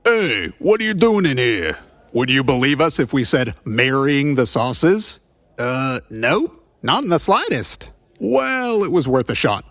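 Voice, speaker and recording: A man playing a wisecracking, awkward private detective with a rough ex-cop edge in an audio drama. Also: medium pitch, sing-song, unhurried, fairly bright tone, no echo. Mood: wry banter. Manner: flustered stammering, flippant quips.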